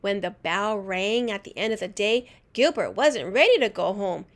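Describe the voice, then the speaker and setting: A woman reading a children's storybook aloud in an expressive, deliberate teacher's way. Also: mid-range voice. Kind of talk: animated storybook read-aloud.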